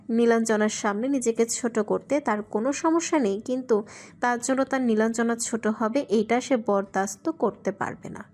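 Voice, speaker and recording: A woman's voice: fairly high pitch, a little mellow. A woman reading scripted narration for a romantic audio story.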